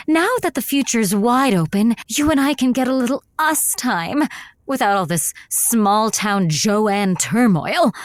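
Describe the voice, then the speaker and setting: Audio comedy role: pampered, scheming girlfriend, sweet and affected, higher-pitched, recorded dry. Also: dry recording. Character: flattering, scheming, pampered pregnant rich girlfriend. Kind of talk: audio comedy drama acting.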